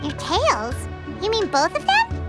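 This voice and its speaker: An actress voicing a tiny, chatty, excitable girl companion who talks about herself in the third person, in a game cutscene. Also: measured pace, full character voice. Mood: chirpy. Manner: refers to herself in third person, squeaky and animated. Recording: game cutscene with loud music.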